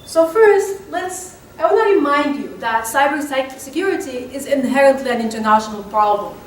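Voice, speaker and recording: A high-pitched woman from Morocco presents research to a conference. She speaks didactically and repeats key points. Her voice comes from some way off the mic in a very echoey room, with faint noise. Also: lively intonation, moderate pace, distant mic.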